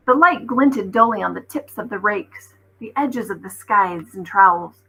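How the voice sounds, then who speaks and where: A woman reads a children's story aloud in an expressive teacher's narration. Her voice is bright and forceful, with a raspy texture and some vocal fry. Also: mid-range voice, measured pace, low-quality recording.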